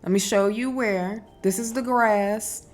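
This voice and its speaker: A woman talks through spiritual advice at a slow, easy pace, circling back to her points with fillers and restarts. Her voice is strong and loud, sitting at a middle pitch for a woman. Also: steady tone. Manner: unhurried, repetitive, emphatic, with fillers and restarts. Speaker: spiritual wellness host. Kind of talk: casual spiritual advice talk.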